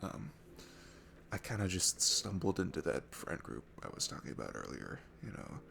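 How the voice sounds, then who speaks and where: Shy, sweet guy alone at a bar, played by a man for ASMR audio: heavy vocal fry, lively shifting pitch, hesitant, stammering and self-effacing. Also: normal speed, exaggerated voice. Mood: shy, sheepish. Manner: hesitant, stammering, self-deprecating, breaking into hushed breathy asides. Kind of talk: intimate ASMR roleplay audio acting. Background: music.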